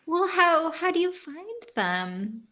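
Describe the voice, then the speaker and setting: A woman casually playing a tabletop game, relaxed and chatty. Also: very high-pitched, slow pace. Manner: casual, laid-back, lots of fillers. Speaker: player.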